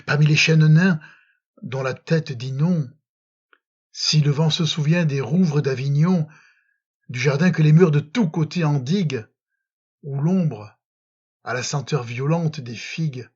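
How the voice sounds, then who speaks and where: A man reciting love poetry in a dramatic, solemn and measured manner, with frequent pauses, on a slightly muffled recording. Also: fairly high pitch, slightly rough. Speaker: poetry reader.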